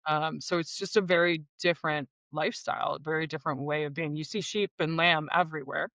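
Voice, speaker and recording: A podcast host chats easily with a guest, stopping and restarting phrases as thoughts form. The voice sits mid-pitch and close, with no room sound, though the line is muffled and lo-fi. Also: steady tone, brisk, fairly bright tone, dry recording. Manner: chatty, many fillers and restarts. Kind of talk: casual interview chat. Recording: dull lo-fi sound.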